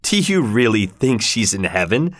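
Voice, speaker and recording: A man's voice: on the low side, big pitch swings, normal speed, dry recording, clean studio sound. A man voicing a tormented, passionate male lover from a storybook, brooding and vengeful, with an intense, anguished delivery. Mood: bitter grief.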